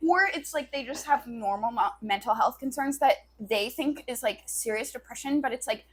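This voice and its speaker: A woman with a fairly high voice chats as a podcast co-host. She is sardonic and prone to exaggeration, swearing casually and leaning on "like" as she goes. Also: normal speed, breathy edge. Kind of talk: casual, crude banter.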